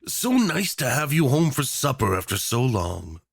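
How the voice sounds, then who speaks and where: A man's voice: mid-range voice, sing-song, normal speed, creaky, breathy edge, no room sound, clean studio sound, full character voice. A man playing a charming, obsessive tyrant in a romantic villain roleplay, drawling theatrically with mock politeness, flirtation and playful menace. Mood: grudging, playful.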